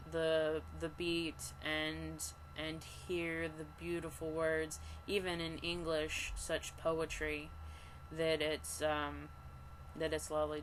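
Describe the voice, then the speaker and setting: A woman with a flat, monotone voice and a lowish pitch reads scripture slowly and talks it over for an online study group. Faint noise sits behind her computer mic. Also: poor audio. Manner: halting, with restarts and fillers between readings. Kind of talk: reading scripture aloud and discussing it. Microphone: computer mic for an online session.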